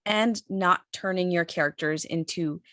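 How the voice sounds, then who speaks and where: A woman giving considered, articulate answers on a panel in a measured way, pausing mid-sentence. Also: on the low side, bright, forceful tone, no room sound, studio quality. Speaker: audio drama writer and panelist.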